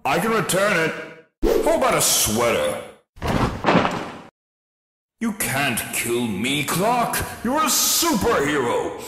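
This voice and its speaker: A man's voice: a little high, huge pitch swings, slow pace, pauses often, fairly bright tone, a little hiss or hum, full character voice. A man voicing a big, cheerful, oblivious superhero in a comic-book dub, with a grandiose, dramatic delivery. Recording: echoey with long reverb.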